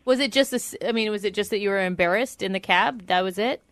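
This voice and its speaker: A woman's voice: medium pitch, fast talker, no room sound. A woman co-hosting a radio show in casual banter. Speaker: radio co-host.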